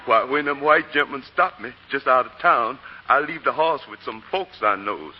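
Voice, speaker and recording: An actor playing a humble, anxious, loyal servant with a folksy Southern accent and deferential manner in a 1940s radio drama. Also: male voice, medium pitch, lively intonation, normal speed, close to an everyday voice. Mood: sheepish, anxious. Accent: American Southern. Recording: muffled, reverberant old radio broadcast, light noise.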